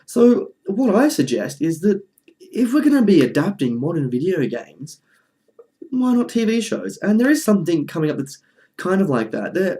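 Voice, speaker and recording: Mellow-voiced man giving casual commentary. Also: fairly high pitch. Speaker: presenter of a film review video essay.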